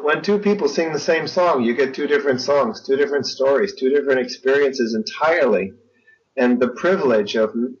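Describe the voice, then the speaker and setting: An older man speaking as an interview guest, reflective and articulate, in measured conversational phrases. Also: medium pitch, distant mic, dull audio. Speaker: interview guest, audiobook narrator.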